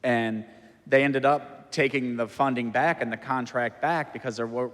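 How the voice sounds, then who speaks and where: A man speaks in a measured, explanatory way at a city council meeting. He lays out his reasoning point by point. His voice trails into the long reverb of the council chamber. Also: medium pitch. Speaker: council member.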